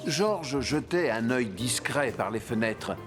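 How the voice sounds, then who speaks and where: A man narrating a children's cartoon in a storybook manner. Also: mid-range voice. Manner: slow, lively storytelling. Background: music.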